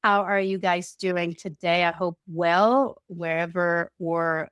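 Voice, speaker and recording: A woman giving a casual online talk with slides, slightly nasal from a cold, with halting speech and frequent restarts. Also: fairly low pitch, fairly even intonation, a bit bright, smooth, no echo. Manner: halting, many restarts and repeated words. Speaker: presenter.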